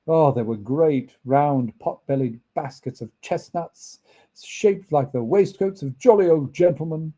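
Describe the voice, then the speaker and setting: A man reading a classic story aloud as an expressive narrator. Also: fairly high pitch, breathy edge. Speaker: audiobook reader, narrator.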